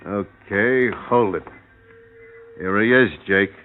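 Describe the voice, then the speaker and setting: Muffled, menacing hoodlum. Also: male voice, fairly low pitch, slow pace, faint music behind. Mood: threatening. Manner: tough, slangy hoodlum talk. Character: menacing, broad-shouldered gunman henchman. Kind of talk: 1940s-50s radio drama acting. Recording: muffled, noisy old radio recording.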